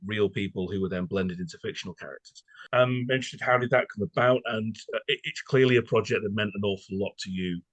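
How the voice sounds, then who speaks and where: A man's voice: low-pitched, no room sound, low-quality recording. A man hosting a conversational interview, hesitant and roundabout, often repeating words as he forms a question. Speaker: podcast host, interviewer.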